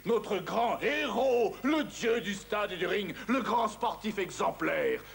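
A man's voice: sits high, animated, slow, vocal fry, a little hiss or hum, heavily acted. A man voicing a big pompous duck who referees and announces boxing in a cartoon, with grandiose delivery. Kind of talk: ring announcing in French-dubbed cartoon. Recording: old cartoon soundtrack with music.

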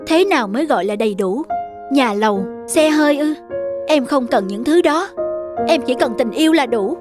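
Young cartoon heroine, high voice, earnest, reproachful, melodramatic, music behind. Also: female voice, expressive, normal speed. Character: earnest young woman rebuking her former lover.

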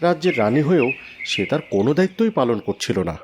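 A man's voice: a little high, sing-song, fast, over music. A man narrating a scripted fairy tale for a cartoon.

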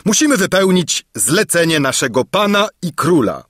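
A man's voice: fairly high pitch, very animated, normal speed, a bit bright, no echo, clean studio sound, pitched above the performer's own voice. A man voicing a knight captain in a storybook, giving a firm, emphatic and courtly address. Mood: firm formality. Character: formal, firm knight captain delivering royal message. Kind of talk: theatrical fairy-tale storybook reading.

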